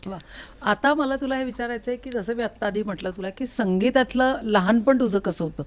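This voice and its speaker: A woman speaks in a slightly high voice as the host of a studio interview. Her delivery is conversational and attentive, with short affirmations for the person she is talking to. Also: a little fast. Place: recording studio.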